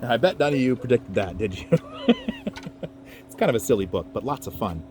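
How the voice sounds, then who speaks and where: Animated man reading a storybook to children. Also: medium pitch, brisk, noisy background. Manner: playful, expressive, checks in with listeners. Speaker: teacher reading a picture book aloud to children. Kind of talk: animated children's read-aloud with chatty asides.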